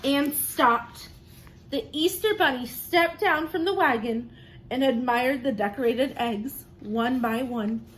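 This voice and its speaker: A woman teacher reading a picture book aloud expressively, pausing to encourage young listeners with questions and praise. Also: sits high, low-quality recording.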